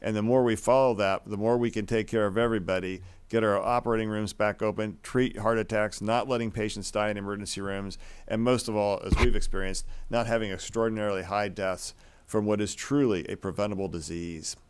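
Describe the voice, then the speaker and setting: A man with a low, clean and resonant voice hosts a live panel briefing. He speaks off the cuff, sometimes doubling back on his words. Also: steady tone, a little fast, clean tone. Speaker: host and physician moderating a briefing.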